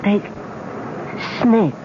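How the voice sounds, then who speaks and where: An actor playing a dying foreign king in a radio drama, weak and gasping, urging in halting broken phrases. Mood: weak, urgent. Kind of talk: 1970s radio drama acting. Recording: muffled, noisy old radio broadcast.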